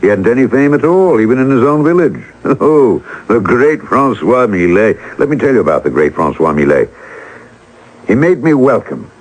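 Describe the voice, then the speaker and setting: An actor playing a reflective older gentleman, once a starving artist, telling his tale in a deliberate, theatrical way for a vintage radio drama. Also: mid-range voice, sing-song, rough recording. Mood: nostalgic. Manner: deliberate, theatrical, pausing for effect.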